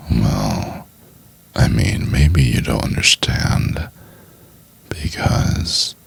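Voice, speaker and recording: A man playing a doting, teasing boyfriend in an intimate ASMR roleplay, murmuring in a husky, halting half-whisper. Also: extremely low pitch, heavily acted. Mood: soothing, affectionate. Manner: slow, halting, murmured delivery with pauses. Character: doting, teasing boyfriend trying to study for exam.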